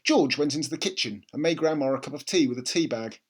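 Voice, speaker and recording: Animated man reading a children's story. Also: a little high, fast, slightly rough. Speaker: storybook reader. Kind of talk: expressive children's book narration.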